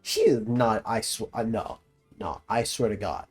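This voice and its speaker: Animated, husky young male game streamer. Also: fairly low pitch, unhurried, soft background music. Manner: slangy, profane, teasing. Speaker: streamer reacting to a video game. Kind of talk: casual gaming commentary.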